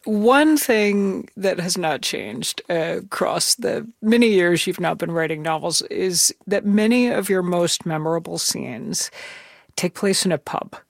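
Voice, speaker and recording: An interviewer asking measured, curious questions. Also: medium pitch, animated, vocal fry, no room sound, clean studio sound. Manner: measured, reads quotes aloud, curious questions. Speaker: interviewer host. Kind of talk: radio interview.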